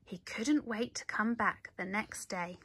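A woman reading a children's story aloud in an animated way, with dramatic emphasis. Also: medium pitch, a little slow, a little hiss or hum. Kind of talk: expressive picture-book read-aloud.

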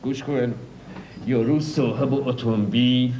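An actor playing a boastful, pompous used-car dealer who swings between loud and hushed delivery, in a comedy sketch. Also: male voice, medium pitch, fairly even intonation, a little hiss or hum, lightly acted. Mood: scheming. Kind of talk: old comedy sketch acting. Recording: dull lo-fi old recording, echoey.

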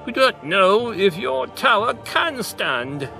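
A man's voice: high-pitched, very animated, takes it slowly, creaky, sharp tone, faint music behind, voice pushed up, full character voice. A man voicing a sly, nervous old magician in a storybook, hesitant and scheming.

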